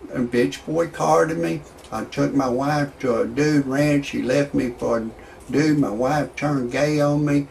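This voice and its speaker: Gravelly man rambling through comic stories. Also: medium pitch, steady tone, unhurried, darkish tone, a little hiss or hum. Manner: rambling, repeats himself, stammering restarts. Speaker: amateur comedian commentator. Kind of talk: rambling comedic monologue.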